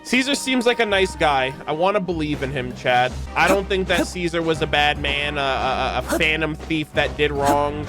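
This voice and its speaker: A young man doing casual, expressive live game commentary as a streamer, full of slang. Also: a little high, moderate pace, few pauses, music in the background. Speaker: gaming streamer.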